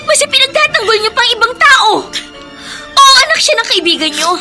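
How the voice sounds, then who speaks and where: A woman's voice: extremely high pitch, sing-song, fairly quick, loud music behind the voice. A woman playing a hurt, resentful young daughter in an indignant, melodramatic radio drama style.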